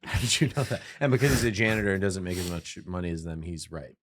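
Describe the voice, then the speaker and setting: A young man on a comedy podcast, riffing in a mock-earnest, deadpan way. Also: low voice, fast.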